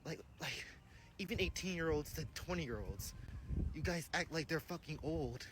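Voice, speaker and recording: Higher-voiced young man, noisy recording. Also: animated, normal speed. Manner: incredulous questioning. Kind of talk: casual talk to camera. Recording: noisy clip with clicks.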